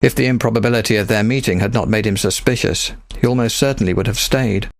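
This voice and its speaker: A man's voice: fairly low pitch, quick pace, vocal fry, no echo. A man reading a scripted story as an audiobook narrator into a close microphone.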